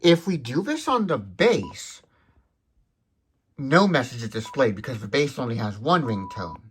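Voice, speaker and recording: A man's voice: medium pitch, sing-song, measured pace, a bit bright, slight background noise, low-quality recording. A man giving an explanatory product demonstration in a methodical, precise way, stressing key words. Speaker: tech demonstrator.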